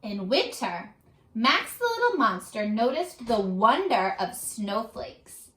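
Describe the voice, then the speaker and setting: A woman reading a children's story aloud in an expressive, teacherly manner. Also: on the high side, takes it slowly, echoey room. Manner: expressive, asks the young listeners questions.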